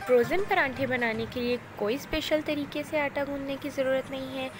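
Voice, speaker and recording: A woman calmly explains each cooking step in a voice-over, speaking directly to viewers. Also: sits high, a little fast. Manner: calm, instructive, addresses viewers directly. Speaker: cooking channel host. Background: loud background music.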